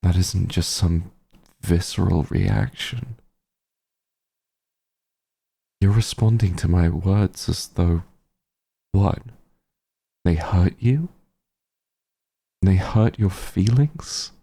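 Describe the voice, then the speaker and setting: A low-voiced, embittered fantasy hunter, acted by a man in an audio roleplay. He speaks in slow, halting phrases with intense menace. His husky voice carries cruelty with grief underneath it. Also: warm tone, heavily acted. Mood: scornful. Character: bitter male werewolf hunter, cruel, grieving, shaken. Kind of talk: dramatic audio roleplay monologue.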